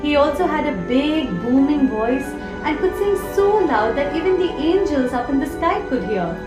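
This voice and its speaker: Narrator: female, high-pitched, scripted children's storytelling, roomy recording over prominent music. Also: very animated, normal speed. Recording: echoey room with prominent music.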